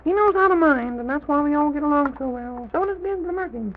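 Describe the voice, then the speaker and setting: A performer playing a quaint, rambling, excitable little old gentleman in an old children's radio serial, with a high, creaky voice. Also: a little fast. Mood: fussy. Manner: rambling, repetitive, exclaims "goodness me". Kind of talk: 1920s-30s children's radio serial acting. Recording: tinny, noisy old radio recording.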